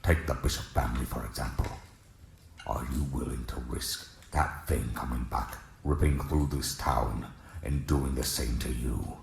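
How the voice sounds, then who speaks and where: An actor playing a grim, menacing interrogator, pressing intensely in a very gravelly voice. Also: male voice, rumbling low, steady tone, moderate pace. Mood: grim, urgent. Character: grim, menacing male interrogator in horror drama. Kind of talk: dramatic horror acting. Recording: echoey room with loud noise.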